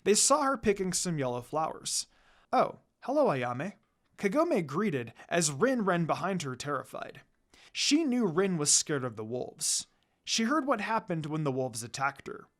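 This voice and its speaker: A woman's voice: fairly low pitch, very animated, moderate pace. A woman reading fan fiction aloud as an amateur narrator, telling the story in a dramatic storytelling way.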